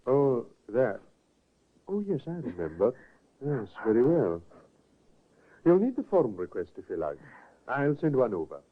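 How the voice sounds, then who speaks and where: An actor in a 1950s radio drama playing a calm, businesslike doctor. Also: male voice, mid-range voice, a little slow, long pauses. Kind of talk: 1940s radio drama acting. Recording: tinny old radio broadcast.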